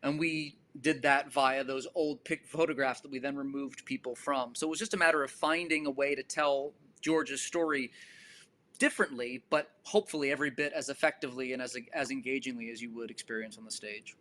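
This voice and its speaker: Male director on a discussion panel, forward bright tone, measured and articulate, explanatory. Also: medium pitch, fairly even intonation, slight background noise. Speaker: stage and film director.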